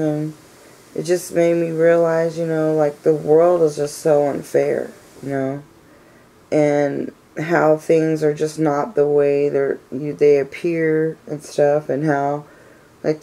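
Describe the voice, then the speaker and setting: A woman in her thirties with a cold, stuffed up and raspy, venting her frustrations in a rambling personal vlog full of "you know"s. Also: sits low, mellow, low-quality recording. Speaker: vlogger. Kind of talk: casual personal vlog rant.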